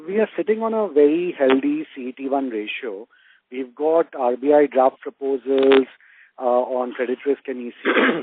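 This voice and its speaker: A man asking questions as an analyst on an earnings call, speaking in a hesitant, halting way. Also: medium pitch, no echo. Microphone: phone conference call. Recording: tinny narrowband phone line.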